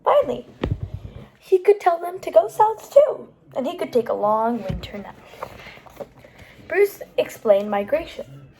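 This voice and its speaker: Slow-paced, high-voiced woman reading picture books. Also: slight background noise, rough recording. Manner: animated, explains pictures and words as she goes. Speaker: storytime host reading picture books aloud. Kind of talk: expressive read-aloud for young children, with asides to the listeners.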